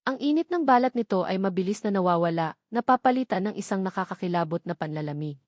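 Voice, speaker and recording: A woman's voice: medium pitch, expressive, fast talker, a little mellow, no echo, clean studio sound. A woman giving scripted, dramatic narration of a romantic story as its narrator.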